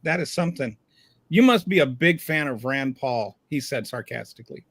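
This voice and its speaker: A man from Louisiana hosting a casual panel chat, talking in a halting way with lots of restarts. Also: medium pitch, lively intonation, fairly bright tone, dry recording. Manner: halting, full of fillers and restarts. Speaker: host.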